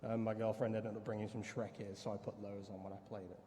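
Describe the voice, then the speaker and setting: A man speaks as a DJ on a convention panel, rambling and leaning on fillers. His voice is fairly low. The hall gives a long reverb with faint noise behind. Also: flat delivery, fast talker, warm tone. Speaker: DJ and music page runner. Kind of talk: casual panel talk.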